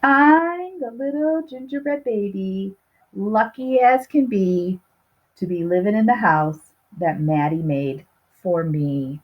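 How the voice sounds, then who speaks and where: A woman's voice: medium pitch, slow pace, highs cut off. A woman voicing a cheeky, boastful little gingerbread baby who sings out taunts in a children's storybook. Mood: happy, sing-song. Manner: sing-song, taunting. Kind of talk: children's storybook read-aloud.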